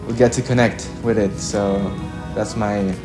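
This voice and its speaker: Low-voiced young man. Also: level tone, music in the background. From Chile. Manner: reflective, halting, with frequent "like" and "kinda". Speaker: musician being interviewed. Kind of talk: casual interview answers.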